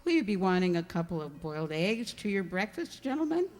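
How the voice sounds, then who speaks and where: A woman's voice: lowish voice, very animated, brisk. A woman playing a gossipy, callous servant at an inn in a radio mystery play, matter-of-fact and disapproving. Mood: gossipy, unfeeling. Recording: reverberant hall-like sound.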